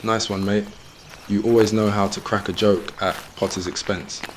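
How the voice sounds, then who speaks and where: Deep male voice, monotone, snide impatient schoolboy, performed by a man, audio story, nature noise. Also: normal speed, lightly acted, rough recording. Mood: amused, mocking. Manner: muttering, exasperated, flat. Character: snide, impatient teenage boy, mocking friend.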